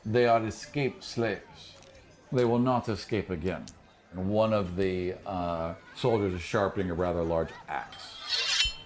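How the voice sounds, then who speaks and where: A man's voice: low voice, steady tone, unhurried. A man improvising scene descriptions as game master of a tabletop fantasy game.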